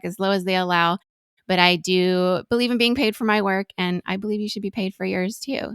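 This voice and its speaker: A woman's voice: medium pitch, vocal fry, a bit bright, clear, resonant tone, no echo, studio quality. A woman from Venezuela hosting a podcast, talking to her guest and listeners. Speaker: podcast host. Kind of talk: interview and listener address.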